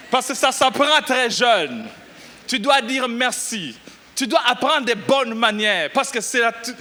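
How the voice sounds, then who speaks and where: A middle-aged pastor from Burundi preaching a lively sermon, exclaiming and shouting at the peaks. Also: male voice, very high-pitched. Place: church.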